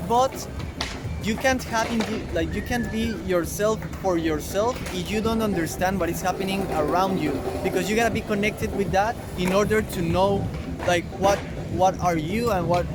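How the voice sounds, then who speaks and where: A young man from Mexico with a Mexican Spanish accent, a native Spanish speaker, talking bluntly with lots of fillers as the guest of a casual outdoor street interview. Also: high voice. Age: young adult. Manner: blunt, rambling, filler-heavy. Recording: loud traffic noise outdoors.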